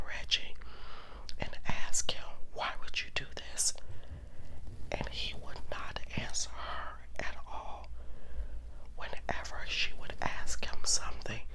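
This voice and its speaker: Someone tells a story in an ASMR style, in a breathy, unvoiced whisper, deliberately and with pauses between short phrases. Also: medium pitch, pushed and bright. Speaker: true crime storyteller.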